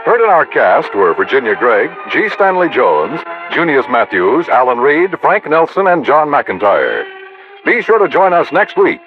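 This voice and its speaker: A man's voice: medium pitch, wildly swooping pitch, measured pace. A man with an American accent announcing in 1950s radio style, reading out credits as a scripted announcer. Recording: dull old radio recording, music behind.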